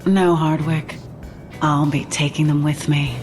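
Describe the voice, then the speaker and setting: A woman's voice: low voice, fairly even intonation, unhurried. Battle-weary female agent over music. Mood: angry, defiant. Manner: intense, emphatic. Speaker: actor in an audio drama. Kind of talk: dramatic audio drama acting. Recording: music behind the voice.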